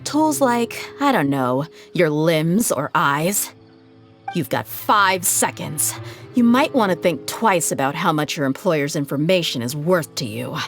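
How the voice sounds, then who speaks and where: A woman's voice: low voice, expressive, unhurried. A young woman playing a tough, blunt, self-assured mercenary in a video game. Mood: threatening, cocky. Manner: assertive, no-nonsense. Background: game music.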